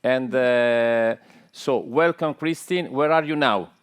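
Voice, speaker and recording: A man's voice: mid-range voice, slow, clear, resonant tone. A man hosting a live event, welcoming a guest hesitantly with many fillers. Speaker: event host.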